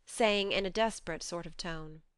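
Smooth, clear woman narrating an audiobook. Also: lowish voice, normal speed, a bit bright, dry recording. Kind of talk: expressive scripted storytelling.

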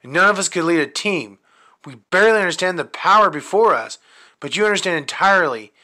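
A man's voice: high-pitched, expressive, fairly quick, bright, forceful tone, voice pushed up. A man voicing a loyal young ranger hero, sincere and emphatic, in a superhero audio drama. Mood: sincere conviction.

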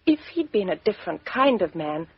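Proud, husky young woman, defiant. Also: mid-range voice, sing-song, fairly quick, dry recording, full character voice. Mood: bitter, defiant. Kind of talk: 1950s radio drama acting. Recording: dry, dull lo-fi 1950s radio recording.

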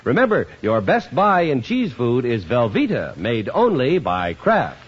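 A man's voice: medium pitch, wildly swooping pitch, a little slow, slight background noise. A man announcing on 1950s radio, reading scripted program notes and sponsor copy in a polished, emphatic way. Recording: dull vintage radio, faint music.

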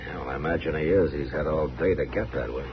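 An actor playing a stern, steady American frontier marshal in a radio western, deep and firm, giving terse, unhurried commands. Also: male voice. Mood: calm, unyielding. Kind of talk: 1950s radio western acting. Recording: muffled, noisy old 1950s radio recording.

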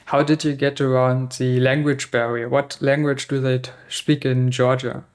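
A man's voice: medium pitch, little pitch movement, moderate pace. A man from Germany hosting a podcast, asking long searching questions with fillers. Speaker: podcast host. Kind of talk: casual interview chat.

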